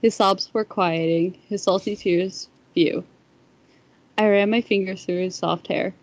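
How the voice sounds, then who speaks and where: A woman's voice: medium pitch, steady tone, measured pace, muffled recording. A woman reading a first-person story aloud on a podcast, sometimes stumbling over the words. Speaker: fan fiction podcast reader.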